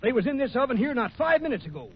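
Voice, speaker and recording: High-pitched, blustery Old West prospector. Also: male voice, big pitch swings, racing. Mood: baffled, accusing. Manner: blustering, indignant exclamations and folksy insults. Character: grouchy, excitable Old West prospector, camp cook. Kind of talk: old-time radio western acting. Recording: muffled, noisy old radio recording.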